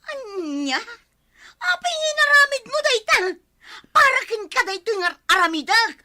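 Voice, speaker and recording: A woman's voice: extremely high pitch, big pitch swings, a little slow, very noisy, exaggerated voice. A woman playing a boastful, hot-tempered village wife in a comedy radio drama, theatrical and whiny, with an Ilocano accent. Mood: outraged, wailing.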